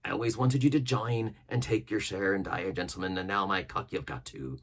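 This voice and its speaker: A man's voice: deep voice, a little fast, a bit bright, husky, full character voice. A man voicing a sly, mock-friendly pirate captain with salty dialect, genial and sneering in a storybook reading. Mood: persuasive. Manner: genial but sneering, gracious, persuasive.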